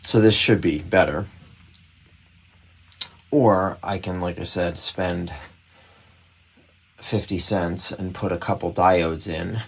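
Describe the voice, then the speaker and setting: A man's voice: low voice, fairly even intonation, takes it slowly, gravelly, long pauses, breathy edge. A man giving casual hands-on commentary on a repair, musing and thinking aloud as he works indoors at a bench. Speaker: radio repairer presenting a restoration.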